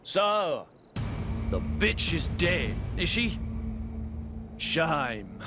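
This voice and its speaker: An actor voicing a cruel, sneering villain in a video game, with a mocking, crude drawl. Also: male voice, on the high side, very animated, drawn-out words, music in the background, full character voice. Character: cruel, sneering male villain taunting a victim.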